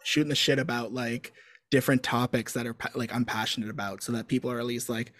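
A young adult man talking casually as a guest author, halting and full of fillers and restarts. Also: mid-range voice, level tone, a bit bright, dry recording. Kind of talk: casual interview chat.

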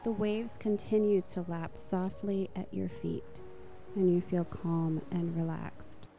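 A woman narrating a guided meditation in a soft, slow, soothing manner. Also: fairly low pitch, fairly even intonation, creaky, soft background music.

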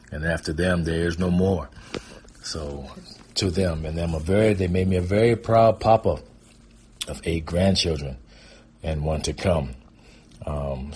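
Deep, husky American man telling stories. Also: darkish tone. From Pontiac, Michigan, United States. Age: 50s. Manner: informal, chuckling, hesitant and rambling. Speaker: guest storyteller. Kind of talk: casual personal storytelling.

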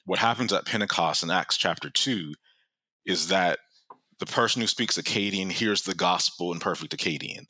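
Guest scholar, male, very deep, crisp bright edge, earnest teaching tone. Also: moderate pace, no echo. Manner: earnest explaining, laughs often. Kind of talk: conversational teaching.

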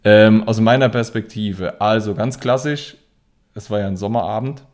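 Hesitant Palatinate-accented man, lower voice. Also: normal speed, a little mellow. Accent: Palatinate German. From Rhineland-Palatinate, Germany. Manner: hesitant, many fillers and restarts. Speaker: podcast co-host. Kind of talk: casual chat.

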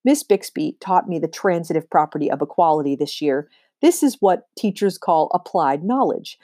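A woman reading a children's novel aloud with expressive, emphatic storytelling. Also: low-pitched, normal speed, no room sound, clean studio sound. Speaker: read-aloud reader.

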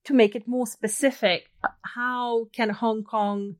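A woman interviewing a guest on a podcast, asking gentle, attentive questions. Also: a little high, fairly even intonation, slow. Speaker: interviewer, academic.

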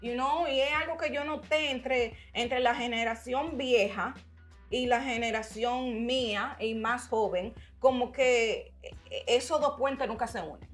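A woman, a writer and organizer, telling her story in a relaxed interview and slipping into English phrases. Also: fairly high pitch, unhurried, faint music behind. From Dominican-American. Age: adult, mother. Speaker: guest writer and community organizer. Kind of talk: casual interview chat.

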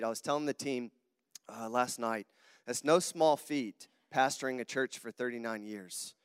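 A man's voice: medium pitch, fairly bright tone, clean tone, studio quality. A man with a Southern US accent preaching a sermon in a casual, earnest way, often turning to the congregation. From southern United States. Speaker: guest preacher. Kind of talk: sermon with conversational asides. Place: church auditorium. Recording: long hall reverb on the voice.

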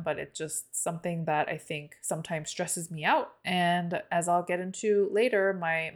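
A woman's voice: on the low side, a little mellow. A woman podcast co-host whose first language is Korean, chatting casually and easily with many fillers. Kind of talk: casual chat.

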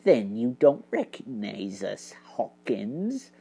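A woman voicing a stern, commanding male mouse detective in a storybook, speaking with hushed, measured authority. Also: very deep voice, sing-song, gravelly, husky, pitched below the performer's own voice, full character voice.

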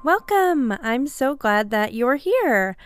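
A woman's voice: sits high, sing-song, slow, soft background music. A woman hosting a podcast in a casual, upbeat and self-deprecating chat, a little nasal from a passing cold. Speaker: podcast host.

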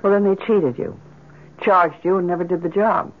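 A woman's voice: on the low side, fairly even intonation, normal speed, close to an everyday voice. An actress playing a grief-stricken, inconsolable middle-aged mother in an impassioned, theatrical old-time radio drama style. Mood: insistent. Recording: muffled vintage radio broadcast.

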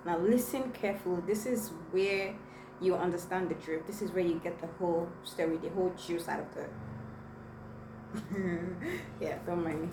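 Lowish-voiced young woman, first language igbo, chatty storytelling vlog, lots of you know and right. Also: normal speed. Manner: chatty, addresses viewers directly, many fillers. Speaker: vlogger. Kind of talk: personal storytelling to camera. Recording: echoey room, mic at a distance, noisy.

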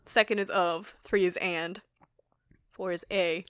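A woman's voice: fairly low pitch, steady tone, a little slow, a bit bright, clean tone, dry recording, studio quality. A young woman co-hosting a podcast, chatting casually, saying "like" often and laughing easily. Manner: chatty, laughs a lot, lots of "like". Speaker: podcast co-host. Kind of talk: casual chat.

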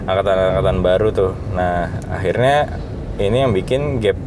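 Man in his thirties, low voice, thoughtful and halting, heavy traffic hum behind. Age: 30s. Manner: thoughtful, hesitant, many fillers. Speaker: podcast host. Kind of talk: reflective solo talk.

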